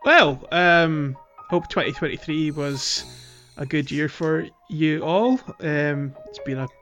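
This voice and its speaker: A man's voice: fairly high pitch, takes it slowly, fairly bright tone, faint music behind. A man co-hosting a podcast in a casual chatting style. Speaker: podcast co-host. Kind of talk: casual chat.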